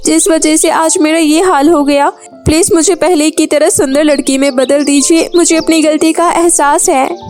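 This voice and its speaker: Woman playing an eager, high-pitched greedy girl. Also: steady tone, normal speed, a little mellow, faint music behind, natural voice. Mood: pleading. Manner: eager, dramatic, girlish. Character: greedy, excitable girl craving riches and beauty. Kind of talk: children's moral story character acting.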